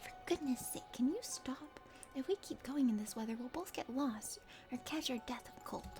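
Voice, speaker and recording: Woman acting a stubborn, high-voiced female mountain guide. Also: expressive, normal speed, warm tone, in a whisper. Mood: insistent. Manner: indignant, scolding. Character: exasperated young woman mountain guide, stubborn, plain-spoken. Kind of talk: dramatic game character reading. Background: music.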